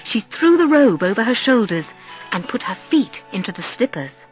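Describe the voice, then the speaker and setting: A woman narrating a dramatised storybook as an audiobook, with expressive, measured phrasing. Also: on the high side, soft background music, poor audio.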